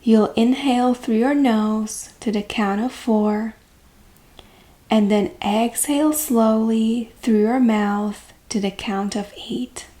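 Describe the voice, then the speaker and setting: A woman leading a guided meditation as a coach, speaking gently and calmly with long, unhurried pauses. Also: on the high side.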